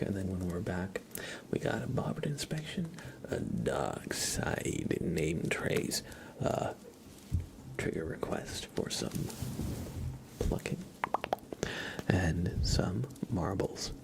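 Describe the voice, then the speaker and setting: A man softly whispering in a breathy, reassuring way, chatting casually with viewers on an ASMR livestream. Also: sits low, big pitch swings, takes it slowly, pauses often. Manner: soft-spoken, reassuring. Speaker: ASMR live streamer.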